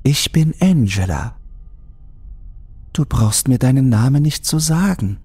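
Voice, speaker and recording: A man voicing a polite, calm, reassuring woman speaking from a safe in a horror audiobook. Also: mid-range voice, a little slow, husky, soft background music, voice pushed up.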